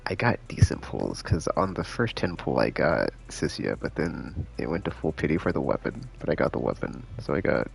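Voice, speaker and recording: A man chatting casually on a live game stream in a laid-back, slangy, rambling way, thinking out loud, with a gravelly voice. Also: extremely low pitch, sing-song, normal speed, few pauses. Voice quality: gravelly, creaky.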